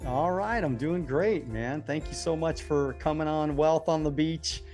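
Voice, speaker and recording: A man's voice: a little high, measured pace, darkish tone, soft background music. A man with an American accent hosting a casual interview podcast, chatting informally in a buddy-like way and often repeating words as he goes. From Southern California, US. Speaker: podcast host.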